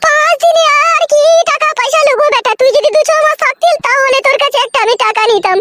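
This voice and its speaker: A woman's voice: extremely high pitch, fast, sharp tone. A woman voicing a motherly, sentimental older widowed village aunt in a cartoon. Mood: motherly, modest. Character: doting, sorrowful older widowed village auntie.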